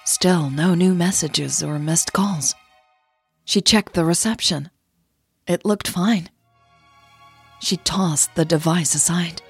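A woman's voice: fairly low pitch, slow, long pauses, slightly rough, soft background music. A woman podcaster who narrates stories and hosts in an informal, chatty way. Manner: playful, self-deprecating, informal. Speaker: podcaster and storyteller, host. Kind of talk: scripted story narration and casual chatty podcast hosting.

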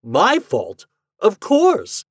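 Male reader as flustered comic husband, blurting, indignant, husky, very lively pitch, storybook style. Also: moderate pace, dry recording, studio quality. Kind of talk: storybook character voice.

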